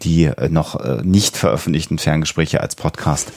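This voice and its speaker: A man's voice: very deep voice, moderate pace, creaky, a little mellow, no echo. A man co-hosting a podcast, chatting casually and addressing listeners directly with announcements. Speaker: podcast co-host. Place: home podcast studio. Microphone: headset.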